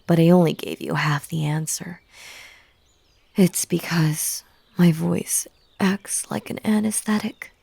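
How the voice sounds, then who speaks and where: Woman acting a slow, breathy, lovesick yandere bunny girl. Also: lowish voice, dark tone, no room sound, full character voice. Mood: tender, soothing. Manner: slow, breathy, coaxing, slipping into whispers. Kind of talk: intimate audio roleplay acting.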